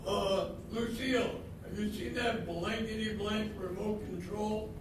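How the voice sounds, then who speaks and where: A man's voice: high voice, fairly even intonation, measured pace, noisy background. An older man playing a distracted, hesitant husband in a readers theatre show, recorded in a roomy hall from far off. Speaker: amateur readers theatre actor. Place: senior center hall.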